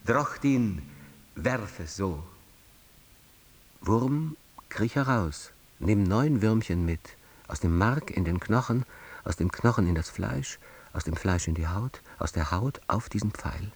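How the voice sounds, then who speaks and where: A man in a radio drama playing a solemn chanter of an ancient charm, reciting ritually and deliberately. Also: lowish voice, lively intonation. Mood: solemn, incanting. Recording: long reverberant hall.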